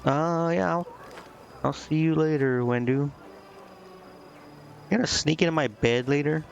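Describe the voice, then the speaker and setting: A man's voice: a little high, measured pace, creaky, muffled recording. A man doing casual game-stream commentary, reading bits aloud and muttering to himself in a hesitant, rambling way.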